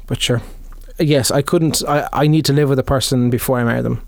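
A man's voice: mid-range voice, fairly even intonation, fairly quick, gravelly, darkish tone, no echo, studio quality. A young man co-hosting a podcast, joking around in easygoing casual chat. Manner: easygoing, jokey banter. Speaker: podcast co-host.

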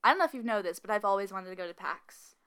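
A young woman co-hosting a casual podcast, chatty and animated with frequent fillers and laughs. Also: medium pitch, very fast, clear, resonant tone. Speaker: podcast co-host. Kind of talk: casual chat.

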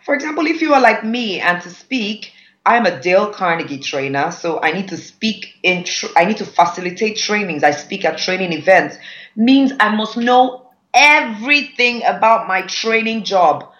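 A woman's voice: mid-range voice, lively intonation, normal speed, fairly bright tone. Nigerian woman trainer, emphatic, repeats points for weight, slightly muffled call audio. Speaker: public speaking trainer. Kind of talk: masterclass teaching.

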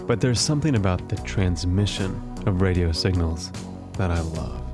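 A man hosting a radio show, narrating from a script in an intimate, measured way. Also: deep voice. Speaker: podcast and radio host. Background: music.

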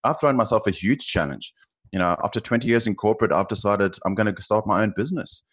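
A man with a South African accent speaks in a very low, deep register. He is a finance professional in his forties, guesting in an interview. He talks thoughtfully, hesitating often and restarting his phrases. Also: quick pace, breathy edge. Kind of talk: podcast interview.

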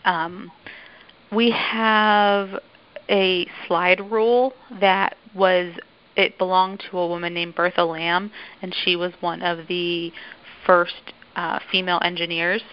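A woman who writes for a museum, answering interview questions thoughtfully with many filler pauses. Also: lowish voice, flat delivery, smooth, dull audio.

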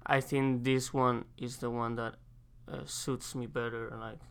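A young man from Spain with a Spanish accent, an exchange student answering interview questions casually and haltingly, pausing to search for English words. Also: mid-range voice, flat delivery, creaky, a bit bright, smooth. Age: young adult.

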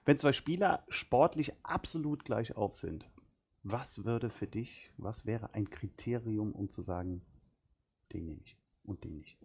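A man hosting a podcast, putting quick quiz-style questions to his guest in a casual interview. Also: lowish voice, breathy edge. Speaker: podcast host.

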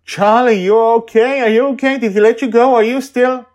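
A man's voice: extremely high pitch, fairly even intonation, normal speed, a little mellow, pitched above the performer's own voice. A man voicing an anxious, emotional nine-year-old girl in an audio story, speaking earnestly and urgently. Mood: excited, worried. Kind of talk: serialized audio story dialogue.

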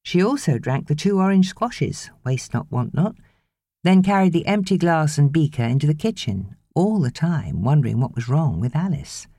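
A woman narrating an audiobook novel in an expressive, measured storytelling manner. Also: low voice, no echo, clean studio sound.

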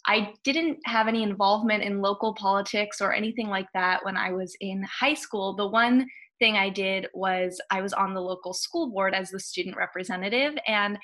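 A woman's voice: mid-range voice, steady tone, moderate pace, fairly bright tone. A woman in her twenties with an American accent, giving conversational, reflective answers as a podcast guest. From Hawaii, United States. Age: 20s. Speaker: podcast guest, political science graduate.

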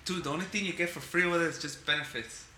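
A man's voice: fairly high pitch, quick pace, pushed and bright, roomy sound, a little hiss or hum. A man in his thirties co-hosting a podcast, boastful and profane, often repeating himself. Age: 30s. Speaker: podcast co-host. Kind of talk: casual comedic banter.

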